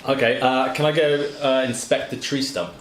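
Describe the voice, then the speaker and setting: A man's voice: mid-range voice, fairly even intonation, normal speed. A man playing a tabletop game, chatting informally and jokingly as he takes his turns. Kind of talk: casual game chat. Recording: echoey, distant, noisy room recording.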